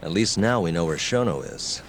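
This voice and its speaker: Low-voiced, cool ex-lawyer detective. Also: male voice, animated, normal speed, slight background noise. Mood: polite, probing. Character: cool, confident ex-lawyer investigator, adult man. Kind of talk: English-dubbed video game drama acting.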